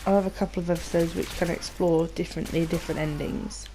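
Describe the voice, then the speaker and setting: A woman's voice: on the low side, fairly even intonation, quick pace, background noise. A British woman giving casual, rambling gameplay commentary, informal and sweary, sounding slightly nasal from a cold. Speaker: gaming video host.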